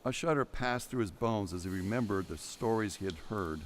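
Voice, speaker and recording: A man's voice: lowish voice, fairly quick, slight background noise. A man reading scripted narration for an audio drama in a dramatic storytelling style.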